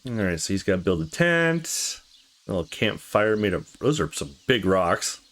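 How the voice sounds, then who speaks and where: A man's voice: low-pitched, big pitch swings, normal speed, fairly bright tone. A man giving casual let's play commentary on a game and reading its dialogue aloud.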